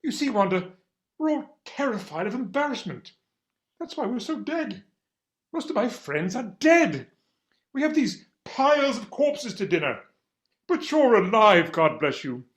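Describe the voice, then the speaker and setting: A man acts out a stifled English attorney for a stage comedy. He is fussy and earnest, openly lovestruck and self-deprecating, with a voice that swings widely in pitch. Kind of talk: comic stage readers theater acting.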